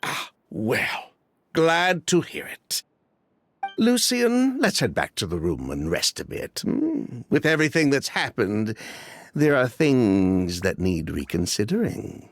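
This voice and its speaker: An actor playing an evasive ex-convict perfume swindler in a video game, speaking in a theatrical, glib, defensive way. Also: male voice, medium pitch, wildly swooping pitch, takes it slowly, heavily acted. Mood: casual, evasive.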